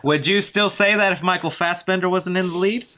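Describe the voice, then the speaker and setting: A man's voice: high-pitched, a little fast, pushed and bright. A man speaking as a podcast guest in a casual, agreeable discussion about films.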